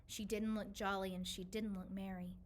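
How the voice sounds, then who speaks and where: A woman reading a children's storybook aloud with an expressive, rhythmic delivery. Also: medium pitch, moderate pace, a little hiss or hum.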